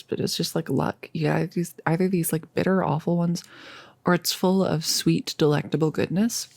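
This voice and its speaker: A woman playing a nervous, chatty girlfriend in an intimate ASMR roleplay, rambling and confiding with hesitant pauses. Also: sits low, creaky, darkish tone, dry recording. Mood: chatty, playful. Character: nervous, chatty girlfriend about to become a vampire. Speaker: audio roleplay performer.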